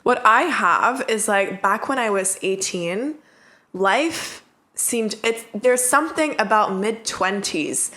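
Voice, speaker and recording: Woman in her mid-twenties, podcast co-host, casual advice chat, candid, mid-pitched. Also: slow. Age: mid-20s. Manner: candid, animated storytelling with quoted inner thoughts.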